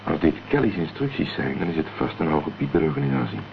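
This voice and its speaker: Cool, terse investigator, very low gravelly voice, determined, radio thriller, dull noisy old recording. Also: male voice, very animated, quick pace, full character voice. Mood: analytical, determined. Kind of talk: Dutch radio drama acting.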